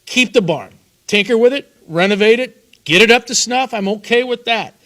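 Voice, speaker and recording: High-voiced upbeat sports show host. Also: male voice, normal speed, bright, forceful tone. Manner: upbeat, emphatic, repeats words for punch. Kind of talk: sports talk show hosting.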